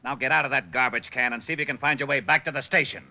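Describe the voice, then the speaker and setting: An actor playing a gruff, exasperated police lieutenant with a gravelly voice, in 1940s radio style. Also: male voice, medium pitch, rapid-fire, over loud music, close to an everyday voice. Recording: muffled old radio broadcast.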